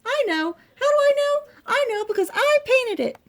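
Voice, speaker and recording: Storybook little girl mouse played by a woman: very high, swooping voice, earnest and insistent. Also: animated, normal speed. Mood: proud, explaining. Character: small female mouse, earnest young painter, insistent.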